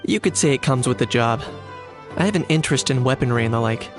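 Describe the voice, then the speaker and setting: A man voicing a calm, dry young mercenary. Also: mid-range voice, fast, natural voice. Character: cool, composed young male weapons-savvy mercenary. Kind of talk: video game dialogue. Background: soft game music.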